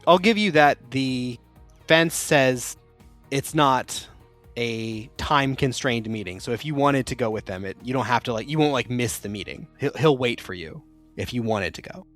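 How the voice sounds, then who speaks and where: Male game master, mid pitch, rules explainer for a tabletop session, with faint background music. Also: expressive, normal speed, fairly bright tone.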